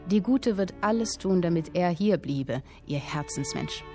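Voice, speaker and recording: A woman performing literary narration in a radio drama, telling a historical tale in a deliberate, dramatic way with clipped phrases. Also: lowish voice, lively intonation, warm tone. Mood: measured, sly. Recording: music under the voice. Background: music with violin.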